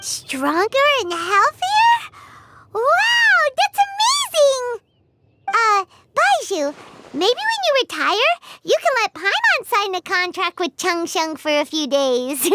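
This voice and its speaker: A woman voicing a tiny childlike fairy companion in a video game, squeaky, excitable and easily offended, exclaiming in the third person. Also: takes it slowly, very noisy, exaggerated voice. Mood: excited, eager. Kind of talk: animated game voice acting.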